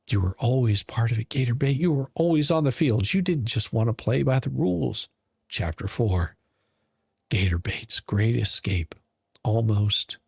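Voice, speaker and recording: A man narrating a scripted story in a dramatic, mocking manner, with deliberate theatrical pauses. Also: medium pitch, creaky, darkish tone, husky, no echo, studio quality. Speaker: podcast narrator.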